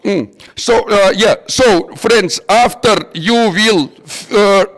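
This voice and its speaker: A man with a high voice and a non-native English accent leads an informal technical workshop. He speaks slowly and haltingly, often repeating himself while he looks for the next word. The recording rings with the long reverb of a hall. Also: fairly bright tone. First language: not English. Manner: halting, repetitive, searching for words. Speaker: workshop instructor.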